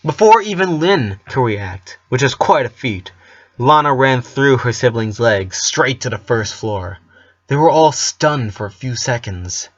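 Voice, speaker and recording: A man narrating fan fiction aloud, expressive, occasionally stumbling over a word and correcting himself. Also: mid-range voice, a little slow. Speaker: story narrator. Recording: slightly dull, lo-fi microphone.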